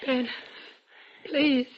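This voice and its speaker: A hissy, muffled vintage radio recording holds a frightened wife. Her voice is fairly high, anxious and halting as she pleads and placates in classic radio thriller style. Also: flat delivery. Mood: terrified, pleading. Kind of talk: old-time radio thriller acting.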